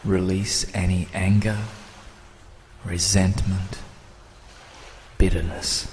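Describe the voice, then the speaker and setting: A man narrating a scripted guided meditation in a soothing, measured manner, with long pauses and soft, breathy phrase endings. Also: low voice, little pitch movement, slight background noise.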